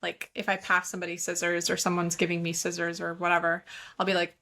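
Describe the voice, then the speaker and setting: A woman's voice: on the low side, completely flat delivery, fast talker, bright, forceful tone. A young American woman co-hosting a podcast, chatting casually and explaining things with lots of fillers. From United States. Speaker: podcast co-host. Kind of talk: casual chat.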